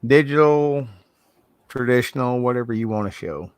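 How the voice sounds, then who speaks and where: A man's voice: mid-range voice, normal speed, clear, resonant tone, no room sound. A man with a Southern accent hosting a casual art livestream over a video call, talking in a loose, rambling way. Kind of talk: casual chat. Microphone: video call from a laptop.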